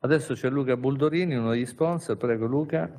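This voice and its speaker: A man's voice: mid-range voice, quick pace, darkish tone. A man, a regional official, speaking off the cuff at a press conference, rambling with many restarts and asides. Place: large conference hall with an audience.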